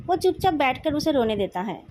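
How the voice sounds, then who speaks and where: A woman's voice: sits high, sing-song, brisk, darkish tone, a little hiss or hum. A woman with an Indian accent reading out scripted narration as the storyteller of a novel. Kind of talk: scripted narration of a novel, retold with acted dialogue.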